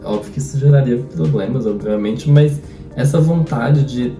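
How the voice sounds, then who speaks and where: A man's voice: mid-range voice, moderate pace, dark tone. A Brazilian man chatting casually about books as a host, thinking aloud and often wandering off on tangents. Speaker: host of a book discussion. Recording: roomy echo, distant mic, faint music.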